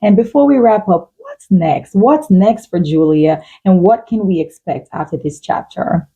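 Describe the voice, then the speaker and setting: Female podcast host, lower register, very dark and relaxed timbre, conversational. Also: unhurried. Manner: affirming, supportive. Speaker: talk show host.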